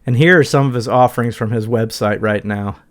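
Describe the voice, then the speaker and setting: A man's voice: medium pitch, a little fast. A middle-aged man giving a casual walkthrough as a guitar gear reviewer.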